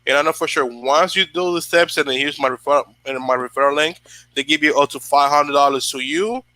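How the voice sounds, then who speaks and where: Young man, from the Dominican Republic, bright voice, dry close sound, rambling motivational stream talk. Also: fairly high pitch, steady tone, brisk, dry recording. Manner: rambling, many fillers and restarts, reads live chat. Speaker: channel host. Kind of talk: casual motivational livestream talk.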